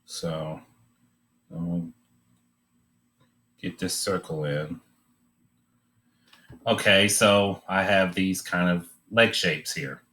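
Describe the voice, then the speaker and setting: A man giving an informal, unscripted drawing demonstration, thinking aloud in a halting way as he teaches. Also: low-pitched, fairly even intonation, bright, forceful tone.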